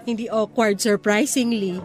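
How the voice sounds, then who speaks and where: A woman's voice: mid-range voice, steady tone, unhurried, lightly acted. A woman playing a friendly, teasing young single woman in a Filipino radio drama, chatting conversationally in Taglish. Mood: friendly, nostalgic.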